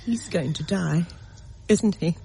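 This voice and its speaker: A woman's voice: lowish voice, takes it slowly, gravelly, mellow, a little hiss or hum. An actress playing a distraught young mother in a radio drama, pleading in a tremulous, tearful voice. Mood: despairing. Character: distraught, frightened young mother at her dying son's bedside.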